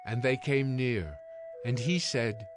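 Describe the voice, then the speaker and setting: A man narrating scripture from a script in a measured, solemn storytelling manner. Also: medium pitch, music in the background.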